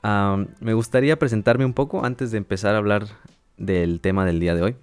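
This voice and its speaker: Calm low-voiced man teaching. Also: clear, resonant tone, no room sound. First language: Spanish. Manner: calm, measured, with teacherly check-ins and light laughs. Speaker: language teacher and podcast host. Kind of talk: educational podcast monologue.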